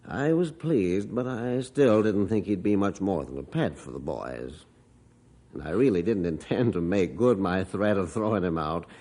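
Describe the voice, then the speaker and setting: A man playing a stern but soft-hearted rancher father who also narrates in a folksy old radio drama. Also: deep voice, heavily acted. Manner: folksy, homespun storytelling. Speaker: narrator of the story. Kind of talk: 1950s radio drama acting.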